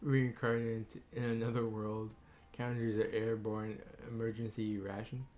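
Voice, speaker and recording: A man's voice: on the low side, little pitch movement, measured pace, darkish tone. A man streaming a game, talking casually over the gameplay in an offhand way.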